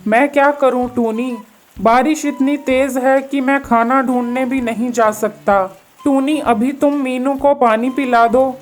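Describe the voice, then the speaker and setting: A woman plays an earnest pigeon father in a children's cartoon. The voice is slightly high and slow, with a worried, lamenting edge. Faint music sits behind it. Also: steady tone. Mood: distressed. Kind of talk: children's cartoon story acting.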